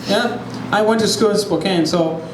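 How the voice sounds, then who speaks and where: A man's voice: sits high, normal speed, noisy background. A young man from Uganda with a Ugandan accent performing a playful stand-up set and chatting with the crowd. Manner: playful, crowd work, acts out voices in his stories. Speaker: stand-up comedian. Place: brewery comedy show before a live audience. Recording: echoey brewery room with crowd ambience.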